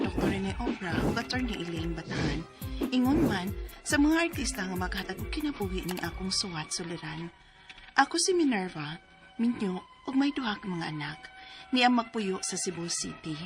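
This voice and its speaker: A woman's voice: mid-range voice, lightly acted. A woman playing a married mother of two, doting but easily exasperated, in a radio drama. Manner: expressive, motherly, scolding at times. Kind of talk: radio drama acting with letter narration.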